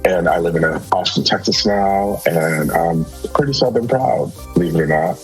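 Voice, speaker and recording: A man's voice: low voice, moderate pace, faint music behind, rough recording. A man from New York who runs a company, talking casually with hesitant starts and an amused tone. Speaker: company owner, panel guest.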